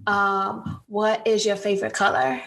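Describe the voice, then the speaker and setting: A woman's voice: mid-range voice, little pitch movement, unhurried, fairly bright tone. A woman hosting an interview, asking questions in an enthusiastic, encouraging way. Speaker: interview host. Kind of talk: casual interview chat. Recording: distant mic with faint background noise.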